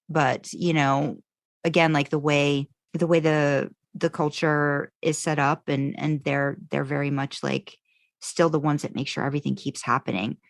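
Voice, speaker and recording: A woman's voice: deep voice, no echo, studio quality. A woman co-hosting a podcast, talking casually and feeling her way through her thoughts as she goes. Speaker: podcast co-host. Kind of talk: casual fan discussion chat.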